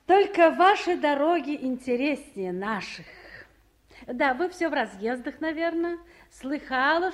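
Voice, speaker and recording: A proud, weary middle-aged woman in civic office in a 1950s Soviet radio drama. Her voice is fairly high and unhurried, with meaningful pauses and a gently ironic edge. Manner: measured, pointed, with meaningful pauses. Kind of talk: mid-century Soviet radio play acting.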